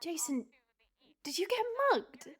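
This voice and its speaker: A worried young wife and mother in an audio drama , played by a woman. Her voice is high and very expressive, shifting between concern and pleading exasperation. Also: slow pace, full character voice.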